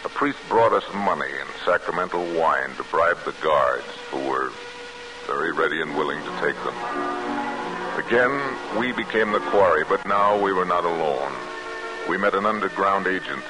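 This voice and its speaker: A man's voice: low-pitched, lively intonation, normal speed, low-quality recording. An actor playing a grim, determined escaped prisoner telling his story in 1940s radio drama style. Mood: grim, weary. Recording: old 1940s radio broadcast, music behind.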